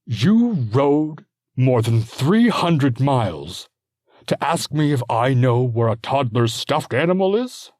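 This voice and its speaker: A man's voice: medium pitch, sing-song, slow, pauses often, dry recording, clean studio sound, pitched above the performer's own voice. A man voicing an anxious young warlord and worried father who fires off frantic, exclaiming questions. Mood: exasperated.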